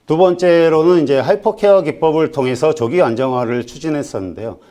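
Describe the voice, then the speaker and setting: Mellow-voiced man giving a presentation. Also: a little high, fairly quick. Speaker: conference presenter, IT company executive. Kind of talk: business presentation.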